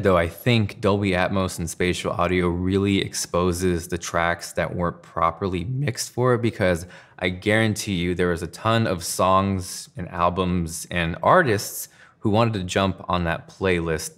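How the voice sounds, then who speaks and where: A man with a deep voice hosts a tech review. He speaks to the camera in an enthusiastic, emphatic way, as someone who is explaining gear from a studio desk. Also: fairly bright tone. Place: indoor studio room at a desk.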